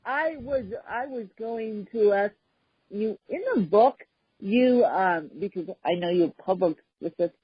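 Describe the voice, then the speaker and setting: A woman hosts a podcast chat in a husky voice, speaking very slowly. She talks haltingly, stopping often and filling gaps with "um". The recording is dry and a bit dull. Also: mid-range voice, no echo. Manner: halting, with frequent pauses and fillers. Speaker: podcast host. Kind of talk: casual conversational podcast chat.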